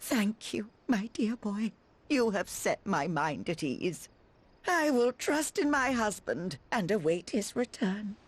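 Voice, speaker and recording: An actress playing a genteel, devoted middle-aged wife in a video game, with a refined, formal and emotive manner. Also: female voice, medium pitch, sing-song, takes it slowly, husky, heavily acted. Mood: relieved. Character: genteel wealthy wife, anxious and devoted, theatrical.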